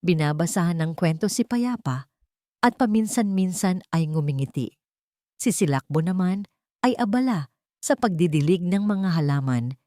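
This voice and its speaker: A woman with a low-pitched voice narrates a sentimental tale. Her delivery is earnest and measured, like a scripted storyteller, and the recording is dry and close. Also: mellow, dry recording.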